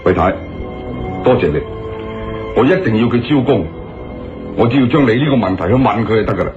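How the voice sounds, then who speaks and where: Man playing stern police colonel, bossy n blunt, radio drama, dull recording w loud music behind. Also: mid-range voice, animated, a little fast, lightly acted. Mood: firm. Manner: forceful, demanding. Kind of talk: Cantonese radio drama acting.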